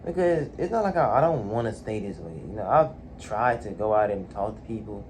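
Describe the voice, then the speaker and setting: A man's voice: on the low side, animated, fairly quick, a little mellow, slight background noise. A man in his late teens talking alone in his bedroom, hesitant, full of fillers and restarts. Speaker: vlogger. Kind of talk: casual solo talk to camera.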